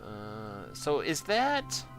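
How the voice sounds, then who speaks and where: American guy streaming a game, kinda low voice, really unhurried, jokes around with chat. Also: wildly swooping pitch, over music. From Michigan, United States. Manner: jokey, self-deprecating, slangy. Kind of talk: casual gameplay commentary, chatting with viewers.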